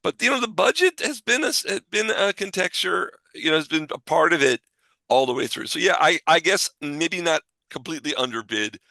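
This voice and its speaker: Bright-voiced man thinking aloud. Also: on the high side, expressive, no echo. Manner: halting, thinks aloud and rephrases. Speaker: podcast co-host. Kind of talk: casual podcast discussion.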